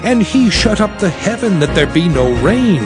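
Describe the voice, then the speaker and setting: A man playing a solemn prophet exhorting his people in a measured, declamatory scripture drama. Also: sits high, very animated. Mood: solemn exhortation. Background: music.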